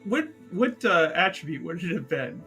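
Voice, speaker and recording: A man playing a tabletop game in casual chat, excitable and repeating words as he goes. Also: a little high, moderate pace, slightly rough, soft background music.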